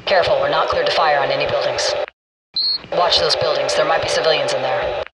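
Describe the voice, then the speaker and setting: An actor playing a businesslike military gunship fire control officer, giving clipped, commanding radio callouts in a video game. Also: male voice, fairly high pitch, fairly even intonation, a little fast, natural voice. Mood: urgent, warning. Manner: terse, commanding. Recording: distant military radio, loud aircraft cabin noise.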